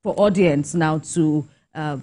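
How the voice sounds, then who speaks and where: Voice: medium pitch, slow, dark tone. A TV interviewer, asking questions in a conversational broadcast style. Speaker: TV news interviewer.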